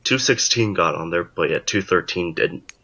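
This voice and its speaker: Deep-voiced man, joking. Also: moderate pace, creaky, sharp tone, highs cut off. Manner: joking, sarcastic banter. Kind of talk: casual group chat over voice call.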